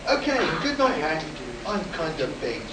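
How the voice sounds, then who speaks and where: A man's voice: high-pitched, very animated, normal speed. A man playing a frightened test pilot close to breaking in a live sci-fi radio play. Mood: shaken, drained. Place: live convention performance, recorded from the room. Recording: echoey, distant, noisy room recording.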